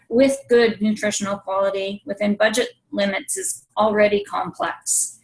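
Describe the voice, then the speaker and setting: A woman with a slightly high voice presents her team's project. She reads steadily from her slides. She is speaking into a home video call. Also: creaky, poor audio. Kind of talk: reading a presentation.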